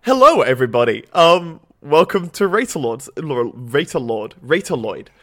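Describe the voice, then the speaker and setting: A man co-hosting a casual podcast from his small flat, rambling a little with ums and restarts. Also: a little high, moderate pace. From Australia. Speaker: podcast co-host. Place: small home flat, blanket around the mic. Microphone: home podcast microphone.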